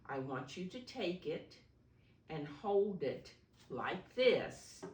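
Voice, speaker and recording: Low-voiced woman, slow storytime teacher. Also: very animated. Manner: simple, deliberate explaining, refers to herself in third person. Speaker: preschool teacher reading a story aloud. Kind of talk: read-aloud storytime for preschoolers. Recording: roomy echo, distant microphone.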